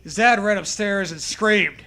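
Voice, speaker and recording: A man's voice: high-pitched, a little slow, pushed and bright. A young man hosting a comedy podcast, reading bad fan fiction aloud in a casual, irreverent, jokey way. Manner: jokey, irreverent, laughs often.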